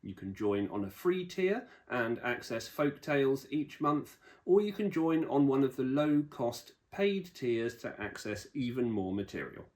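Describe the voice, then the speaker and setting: A man speaks as the host of a podcast, with a medium-pitched voice. He addresses a guest and his listeners in the manner of an interview show. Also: lively intonation, measured pace, hardly any pauses, a little mellow.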